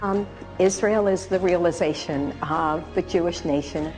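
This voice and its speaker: Earnest low-voiced woman reflecting. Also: measured pace, a little mellow, soft background music, rough recording. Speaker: interviewee. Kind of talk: personal interview testimony.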